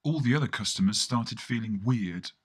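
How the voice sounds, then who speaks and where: A man's voice: mid-range voice, moderate pace. A man narrating a scripted first-person comic monologue, acting out the dialogue as he goes. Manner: performs the dialogue of the characters within the telling. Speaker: storyteller and narrator.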